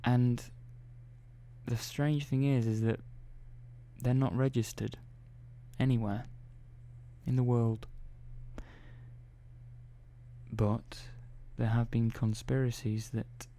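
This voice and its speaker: A man playing a calm, methodical robot engineer in an ASMR roleplay, speaking softly and slowly with hesitant pauses. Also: medium pitch, slight background noise. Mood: confiding. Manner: soft-spoken, slow and hesitant, many pauses and "well"s.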